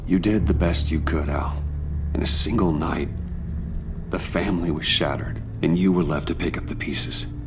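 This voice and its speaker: Brooding low-voiced vigilante. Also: male voice, normal speed, music in the background. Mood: subdued, concerned. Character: brooding, stubborn adult male vigilante, guarded, intense. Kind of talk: dramatic video game acting.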